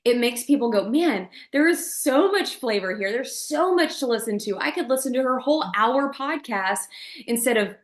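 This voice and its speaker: Woman, podcast guest, voice coach, expressive emphasis, fairly high pitch, roomy echo. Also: moderate pace. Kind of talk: podcast interview.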